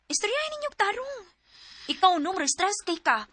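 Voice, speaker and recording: Sensible young female confidante, high-pitched, Cebuano accent, reassuring advice, bright tone, radio drama. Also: big pitch swings, normal speed, heavily acted. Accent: Cebuano Filipino. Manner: reassuring, advising. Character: sensible, supportive young female best friend.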